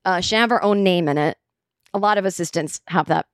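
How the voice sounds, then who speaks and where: A raspy-voiced woman in her forties, a comedian hosting a podcast, telling a story casually with plenty of swearing and acted-out quotes. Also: lowish voice, fairly quick, no room sound, studio quality. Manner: profane, animated, acts out quotes.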